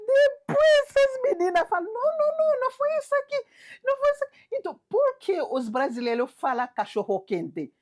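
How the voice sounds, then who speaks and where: A woman with a Haitian accent, telling an anecdote as an animated storyteller who laughs as she talks. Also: very high-pitched, brisk. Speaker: interviewee. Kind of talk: documentary interview.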